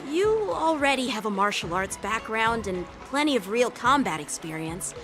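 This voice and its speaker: An earnest teenage boy swordsman from an anime-style game. He speaks courteously and with measured care, like a young teacher. Clear music plays underneath. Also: a little high, sing-song. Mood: earnest, encouraging.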